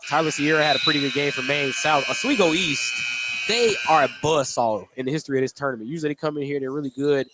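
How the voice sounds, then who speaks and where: A man with a slightly high voice calls a live basketball game as the play-by-play announcer. He speaks in clipped running descriptions. Clear gym noise, clicks and ball bounces sit behind him. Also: fast talker. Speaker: play-by-play radio commentator and lead host. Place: indoor school gymnasium during a game. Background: loud game noise, bouncing basketball.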